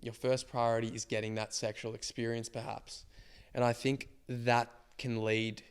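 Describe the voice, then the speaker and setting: A man in his twenties co-hosting a podcast with a slightly croaky voice, reflecting at length in casual chat. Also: mid-range voice, level tone, moderate pace. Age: 20s. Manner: reflective, explains ideas at length. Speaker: podcast co-host.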